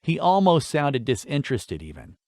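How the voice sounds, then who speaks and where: Casting: male storyteller for fantasy audiobook narration, medium-pitched voice, very dry recording. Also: huge pitch swings, normal speed, darkish tone, no room sound.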